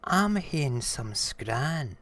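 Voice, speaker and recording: A man voicing a small, bold, boastful mouse in a storybook, with a Scottish accent and a cheeky, animated manner. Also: medium pitch, very slow, pitched above the performer's own voice, exaggerated voice. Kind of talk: storybook character reading.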